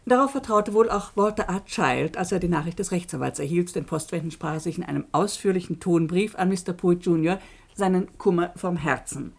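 Low, creaky-voiced lecturing jurist. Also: female voice. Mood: pointed, lecturing. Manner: didactic, deliberate, dryly ironic. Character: sharp, lecturing female jurist telling a case to students. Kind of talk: German radio play acting, narration.

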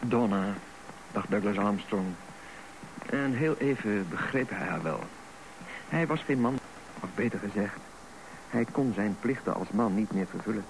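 A man narrating a scripted radio drama in a measured storytelling way. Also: on the low side, animated. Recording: noisy radio recording.

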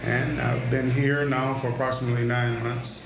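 A man in his fifties, a prison inmate giving an interview, recounting events haltingly with many "you know"s. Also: medium pitch, little pitch movement, gravelly. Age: 50s. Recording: roomy, echoey recording.

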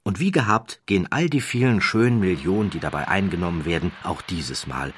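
Deep-voiced man, dramatic narrator. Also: animated, slight background noise. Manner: measured, dramatic storytelling. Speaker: audiobook narrator. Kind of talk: scripted narration of a historical true story.

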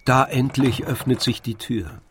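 A man's voice: medium pitch, a little slow, fairly bright tone, a little hiss or hum. Male narrator of a literary radio drama. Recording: faint music behind the narration. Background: faint music.

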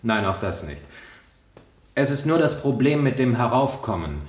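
A man's voice: mid-range voice, sing-song, normal speed, close to an everyday voice. An actor playing an uneasy, evasive salesman giving hesitant, defensive testimony in a radio play. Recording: echoey room, 1970 radio play.

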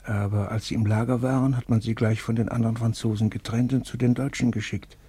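A haunted, weary camp survivor, as a man acts him in a radio play, speaks in halting, hesitant bursts. His voice is dark and mellow, and very husky and breathy. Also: medium pitch, level tone, no echo, lightly acted. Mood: recounting. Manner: halting, hesitant, with many pauses.

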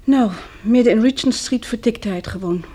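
An actress playing a shaken but plucky, well-off young woman, a survivor of disaster, in a Dutch radio drama, with a slightly breathy voice. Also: fairly high pitch, moderate pace, dark tone. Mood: weary, confiding.

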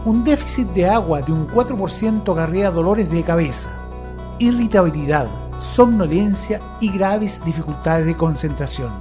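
A narrator reading a scripted, informative text in a measured documentary style. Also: medium pitch, animated, dark tone. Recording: music bed under the voice. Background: music.

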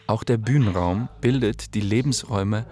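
A man reading scripted documentary narration in a measured, explanatory way. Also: on the low side, background noise.

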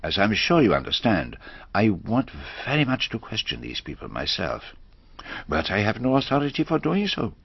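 An actor playing a courteous, shrewd detective, formal and deliberate, in a radio drama. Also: male voice, on the low side, wildly swooping pitch, creaky, slightly rough, no room sound, dull audio, heavily acted. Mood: sly, deliberate. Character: courteous, shrewd foreign male detective, former policeman.

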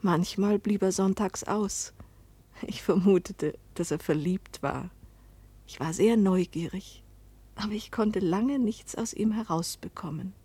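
A woman's voice: mid-range voice, unhurried, warm tone, slightly rough, no room sound. An actress playing a middle-aged mother who tells her life story in a restrained, wistful, intimate way in a radio drama. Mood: wistful, subdued. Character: weary, hopeful Italian mother narrating her life's disappointments. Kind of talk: radio drama first-person narration and dialogue.

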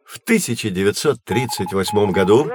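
Actor as low-voiced patient astronomy guide, man. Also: animated, crowd noise, close to an everyday voice. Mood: explanatory, patient. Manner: measured, explanatory, reassuring to children. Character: patient knowledgeable adult man guiding children through astronomy. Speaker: teacher-guide in educational audio drama. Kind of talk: scripted educational audio drama, explanatory.

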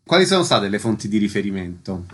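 A man's voice: lowish voice, sing-song, quick pace, breathy edge. A man reading scripted announcements and questions as a radio host.

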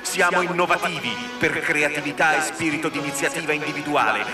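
An actor playing the bombastic narrator of a triumphant company promotional film, declaiming slogans in a grandiose manner. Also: male voice, on the high side, fairly even intonation, a little fast, close to an everyday voice. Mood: grandiose, determined. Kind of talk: scripted promotional narration in radio drama. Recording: roomy echoing sound over music. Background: music.

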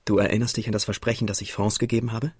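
Haunted, husky young idealist. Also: male voice, on the low side, flat delivery, racing, no room sound, studio quality. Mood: brooding, yearning. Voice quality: very husky, creaky, breathy. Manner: confessional, intense. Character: haunted, guilt-laden young man, restless idealist. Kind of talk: radio drama acting.

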